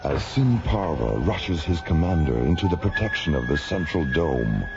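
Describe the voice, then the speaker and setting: A man narrating an old-time science fiction radio drama in a measured, portentous, dramatic style. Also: extremely low pitch, big pitch swings. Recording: dull old radio recording, loud music behind.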